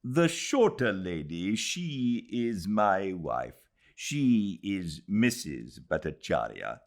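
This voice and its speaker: A genial gentleman in a storybook reading. The voice is raised and very animated, insistent and hospitable. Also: low voice, slow, pitched above the performer's own voice, full character voice. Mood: explaining. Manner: emphatic, hospitable.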